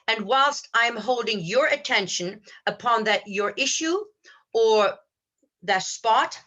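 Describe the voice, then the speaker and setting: This is a woman with a fairly high, very bright and forward voice. She speaks as a podcast co-host in reflective spiritual talk. Her manner is earnest and measured, as someone philosophizing aloud.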